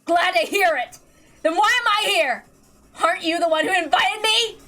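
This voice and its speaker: A woman plays a defiant young girl in a visual novel. Her voice is high and shrill, breaking into tearful, anguished outbursts over background music. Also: moderate pace, sharp tone. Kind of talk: dramatic visual novel reading.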